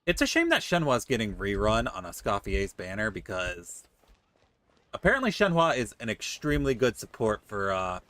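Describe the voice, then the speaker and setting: Animated bright-voiced man streaming a game. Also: lowish voice, normal speed. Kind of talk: casual live game commentary to chat.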